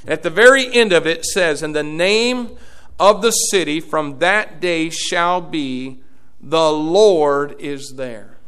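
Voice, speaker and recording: A man's voice: fairly high pitch, slow, a bit bright, smooth. A man, preaching a sermon as pastor, his delivery swelling and dropping in volume. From Indiana, USA.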